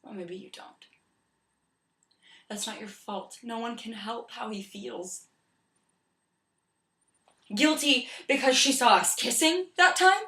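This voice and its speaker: A woman's voice: fairly high pitch, slow, long pauses, sharp tone, full character voice. An actress playing a devoted young woman who speaks earnestly and pleads, then urges with force. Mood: probing. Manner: earnest and pleading, turns fiercely urging. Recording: echoey room.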